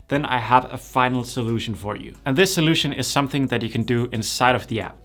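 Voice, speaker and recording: A young man speaking English, his voice sitting at an ordinary middle pitch. He explains things plainly and step by step, like a creator running a how-to video for his channel. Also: fairly bright tone. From Denmark. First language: Danish. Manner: plain, step-by-step instructional delivery. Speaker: YouTube creator. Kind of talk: tutorial explainer.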